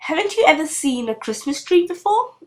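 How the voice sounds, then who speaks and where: A woman voicing a bold, cheerful, mischievous young girl in a storybook reading, with a loud, declarative manner. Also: high voice, very animated, fairly quick, voice pushed up. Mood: playful challenge.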